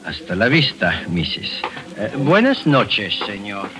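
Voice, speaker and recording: An actor playing a smooth, overly polite foreign buyer in a 1940s radio drama, speaking formally and hesitantly. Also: male voice, on the low side, sing-song, a little slow, a crowd in the background. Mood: oily, courteous. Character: smooth, ingratiating foreign buyer, middle-aged man.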